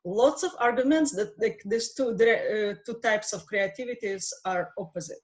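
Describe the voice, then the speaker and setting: A woman's voice: mid-range voice, moderate pace. A woman whose first language is Russian, joining a video call and speaking earnestly while searching for words. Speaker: participant. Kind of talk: casual online discussion.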